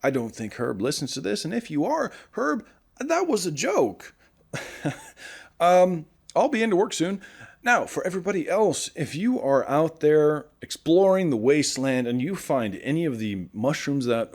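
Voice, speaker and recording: A man's voice: on the high side, sing-song, moderate pace, creaky, darkish tone, heavily acted. A man playing a friendly, earnest morning radio host in a post-apocalyptic audio drama, chatty and rambling. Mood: joking.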